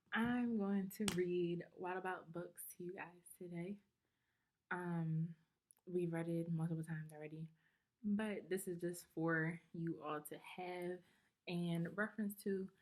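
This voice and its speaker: Slow-paced woman reading aloud to children. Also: fairly low pitch. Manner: deliberate, instructive, pausing to explain and ask questions. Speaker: teacher reading aloud to students. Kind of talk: picture book read-aloud with explanations and questions for children.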